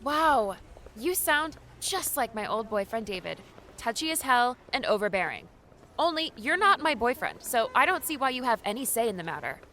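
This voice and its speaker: Lady acting as feisty young heroine, highish voice, super expressive, blunt, bit of noise behind her. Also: moderate pace. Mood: annoyed. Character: feisty, teasing young woman, blunt and challenging. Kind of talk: audiobook character dialogue.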